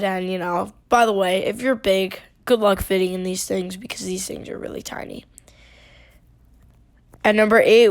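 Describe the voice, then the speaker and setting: A woman with a congested, sick voice, talking casually in a voice-over review with plenty of fillers and restarts. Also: medium pitch. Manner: loose and chatty, many fillers and restarts. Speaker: theme park video creator.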